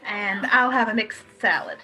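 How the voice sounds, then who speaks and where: A woman's voice: medium pitch, moderate pace, close to an everyday voice, low-quality recording. A woman playing a modest, guarded wife of a cinema owner in a radio play, polite and understated.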